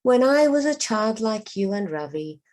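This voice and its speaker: A woman voicing a kindly, good-humoured old grandfather in a storybook, with gentle, measured delivery. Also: on the high side, sing-song, voice pushed up. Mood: fond reminiscence.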